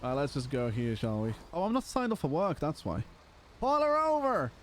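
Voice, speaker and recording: This is a young man whose voice is worn hoarse from a long stream. He sits slightly higher in pitch than most men and chats casually, laughing often. A faint hum of noise sits under him. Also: huge pitch swings, moderate pace. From Liverpool, England. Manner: chatty, laughs often, calls people brother. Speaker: streamer playing a roleplay game. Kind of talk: casual live-stream chat.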